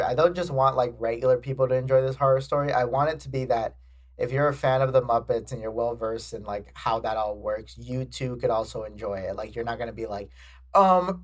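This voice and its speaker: A man hosts a laid-back podcast conversation, his voice sitting at an ordinary middle pitch. He rambles, restarting sentences and leaning on fillers as he goes. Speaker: podcast host. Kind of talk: casual podcast chat.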